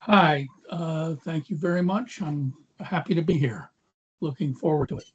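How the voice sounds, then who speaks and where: A man introducing himself as an investor on a video call, measured and slightly hesitant. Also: a little high, breathy edge. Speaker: investor panelist. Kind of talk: brief self-introduction. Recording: dull lo-fi video call audio.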